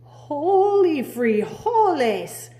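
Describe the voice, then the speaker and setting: Storybook cat boy voiced by a woman: small, cocky would-be swordsman, mock-heroic, slow drawn-out phrases. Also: high voice, very animated, dark tone, voice pushed up, exaggerated voice. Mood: alarmed. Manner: theatrical, mock-heroic delivery with drawn-out words. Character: small boastful young male cat posing as a Chihuahua sword fighter.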